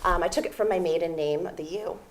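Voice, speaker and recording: A woman's voice: lowish voice, fast. A young woman chatting as an interview guest, talkative and giggly, with many fillers. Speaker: interview guest, audiobook narrator. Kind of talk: casual interview chat.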